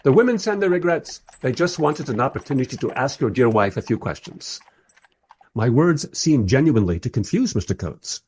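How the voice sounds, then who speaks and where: An actor playing a mild, polite time-travelling interviewer who narrates his story wryly in a radio play, with a husky voice. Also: medium pitch, sing-song, normal speed, darkish tone. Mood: polite, dry. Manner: wry, polite. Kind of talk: first-person radio play narration and dialogue.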